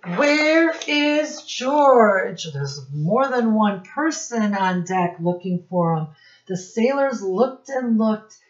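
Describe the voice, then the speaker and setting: Middle aged lady teacher doing storytime for little kids, slowish, kinda dull webcam audio. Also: mid-range voice, expressive. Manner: instructive, addresses children directly, pauses to point things out. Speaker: early childhood teacher reading aloud. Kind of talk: children's storybook read-aloud with questions to young viewers. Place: small room at home, indoors.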